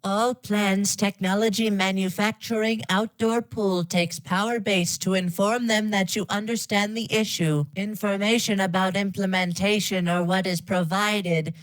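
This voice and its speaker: A woman voicing an emotionless female artificial intelligence making formal game-style announcements, deadpan and stilted, with synthetic robot processing. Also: mid-range voice, a little slow, few pauses, no room sound, rough recording. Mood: flatly formal. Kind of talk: scripted game announcement parody.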